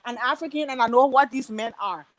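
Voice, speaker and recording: A woman's voice: high-pitched, brisk. A woman from Liberia calling in on a video call and emphatically sharing her opinions. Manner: emphatic, gives opinions, laughs often. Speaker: caller. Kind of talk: casual opinionated chat.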